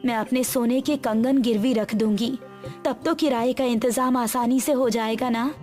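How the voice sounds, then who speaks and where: Woodcutter's wife for a moral tale, acted by a woman: high, earnest, careworn. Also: steady tone, moderate pace, darkish tone, soft background music, lightly acted. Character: poor woodcutter's worried, loving village wife.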